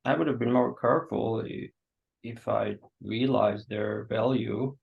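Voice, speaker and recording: A man acting a gentle, awkward, solicitous host in a staged play reading, hesitant and coaxing. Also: medium pitch, fairly even intonation, a little slow, vocal fry. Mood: awkward, apologetic. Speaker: actor. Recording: dull, muffled sound.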